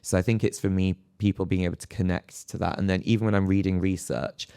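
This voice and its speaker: A man's voice: low voice, fairly even intonation, fast talker, creaky, mellow, clean tone, dry recording, clean studio sound. A man speaking as an academic panelist in a live discussion, phrasing his thoughts in a hesitant, reflective way. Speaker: panelist, psychology lecturer.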